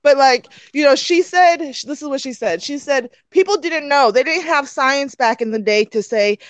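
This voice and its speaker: High-voiced woman from Turkey, chatty. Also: normal speed, no room sound. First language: Turkish. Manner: loose, full of "like" and "you know". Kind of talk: casual chat.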